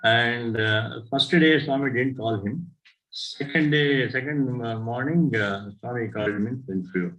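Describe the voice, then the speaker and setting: Older Indian man, halting storyteller. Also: mid-range voice, a bit bright, low-quality recording. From southern India. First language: Tamil. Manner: unhurried, halting, often corrects himself mid-sentence. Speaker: devotee sharing experiences. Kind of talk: personal storytelling talk.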